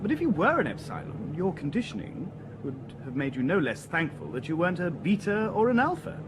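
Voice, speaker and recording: A man's voice: on the high side, huge pitch swings, fairly quick, background noise. An actor playing a complacent young man in a radio drama, speaking in a self-assured, matter-of-fact way. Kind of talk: BBC radio drama acting.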